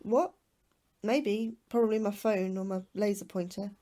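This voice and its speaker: A woman's voice: medium pitch, steady tone, measured pace, dark tone, lightly acted. An actress playing an anxious astrobiologist in an audio drama, questioning and hesitant in her delivery. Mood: wary, questioning. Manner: hesitant, stammering, incredulous.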